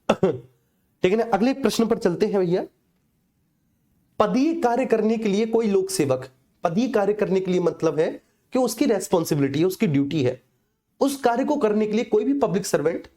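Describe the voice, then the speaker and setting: A man's voice: high voice, warm tone. A man giving an informal exam lesson, explaining conversationally with frequent check-in tags. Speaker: teacher for police exam coaching.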